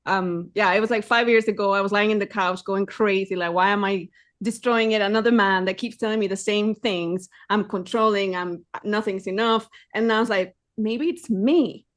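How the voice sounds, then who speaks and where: A woman's voice: medium pitch, fairly even intonation, normal speed. A woman with a Mexican accent, co-hosting a podcast and chatting casually. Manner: chatty, with fillers. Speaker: co-host. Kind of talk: casual interview chat.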